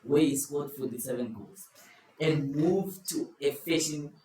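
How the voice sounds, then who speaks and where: A man hosting a casual football quiz, giving slow, playful clues to his friends. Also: fairly high pitch, warm tone. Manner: playful, drawn-out clue-giving. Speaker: quiz host. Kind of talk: casual group chat, posing football riddles. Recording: echoey room, far from the mic, faint noise.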